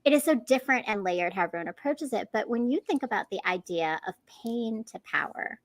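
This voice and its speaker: A woman's voice: a little high, animated, a little fast. A woman hosting an interview podcast, introducing and questioning her guest with emphatic phrasing. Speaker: podcast host.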